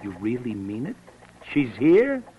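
An actor playing a sly, greedy schemer in a classic radio drama, with a teasing, knowing way of talking. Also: male voice, mid-range voice, wildly swooping pitch, measured pace. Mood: puzzled. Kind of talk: 1940s-50s radio drama acting. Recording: muffled, noisy old radio recording.

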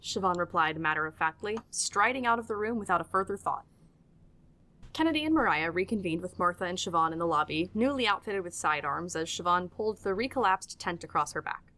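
Low-pitched woman narrating a story. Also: animated, a little fast, sharp tone. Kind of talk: scripted audio drama narration, storytelling in third person.

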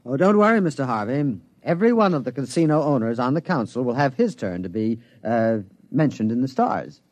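An actor playing a genteel, wry astronomer with a polite manner, in old-time radio drama style. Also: male voice, mid-range voice, very animated, fairly quick, darkish tone, dry recording, lightly acted. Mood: sly, reassuring.

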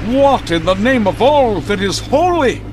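An actor playing a pompous, theatrical vigilante superhero in old radio serial style, declaiming grandly and easily outraged. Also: male voice, very high voice, animated, unhurried, background noise. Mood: awestruck. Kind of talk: 1940s radio serial acting.

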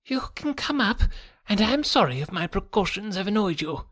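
A man voicing a nervous, frightened gentleman in an audiobook, with a reedy, quivering voice and a fussily polite, agitated manner. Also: high-pitched, very animated, fairly quick, no room sound, clean studio sound, voice pushed up, exaggerated voice. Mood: relieved, apologetic. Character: nervous, jittery, very fat sickly middle-aged gentleman, frightened. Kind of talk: audiobook character voice.

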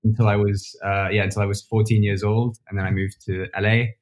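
A man's voice: lowish voice, little pitch movement, brisk. A man whose first language is French, who grew up in France and speaks English with an American accent, chatting casually and laughing easily. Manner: laid-back, laughs easily, swears casually. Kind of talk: casual chat.

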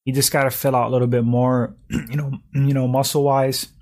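A slightly hoarse man in his thirties, hosting a livestream and chatting casually while reading out viewer comments, often clearing his throat. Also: medium pitch, fairly quick. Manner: relaxed slangy talk, frequent throat clearing. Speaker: livestream host reading chat. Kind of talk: casual chat.

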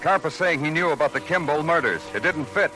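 Hard-boiled American radio detective. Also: male voice, fairly high pitch, brisk, faint music behind, low-quality recording. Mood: brooding, grim. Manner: terse, clipped, deadpan. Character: hard-boiled, curt, world-weary male police detective lieutenant. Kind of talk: 1940s-50s radio noir acting and narration. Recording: old radio broadcast recording.

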